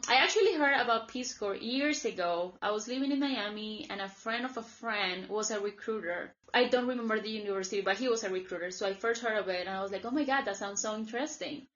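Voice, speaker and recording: A woman's voice: mid-range voice, fast talker, few pauses, a bit bright, lo-fi sound. A woman in her thirties from Colombia, a native Spanish speaker, telling her story in a casual interview with lots of filler words. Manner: chatty, many "like" fillers. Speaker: guest, former volunteer.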